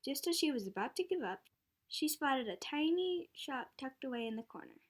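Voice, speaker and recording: Composed storybook narrator, a schoolgirl, fairly high voice, scripted radio play narration. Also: sing-song, normal speed. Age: child of about ten.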